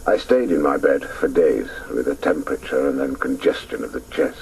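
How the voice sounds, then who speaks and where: An actor playing a grown man who recalls his boyhood in a wistful, measured first-person radio drama narration, with a gravelly voice. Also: animated, a little mellow, far from the mic, full character voice. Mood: wistful recollection. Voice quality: deep, gravelly. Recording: noisy old radio broadcast recording.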